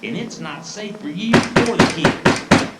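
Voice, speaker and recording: Panicked, guilty ghost played by an amateur actor for audio drama; animated delivery, distant echoey sound. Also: medium pitch, slow. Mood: desperate, secretive. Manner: agitated, desperate, gasping. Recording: echoey, distant, faintly noisy.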